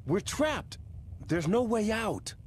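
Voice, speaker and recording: A woman's voice: mid-range voice, big pitch swings, takes it slowly, darkish tone, a little hiss or hum. A woman playing a cool, serious action team member in a video game, terse and dry. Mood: grim. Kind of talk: video game cutscene acting.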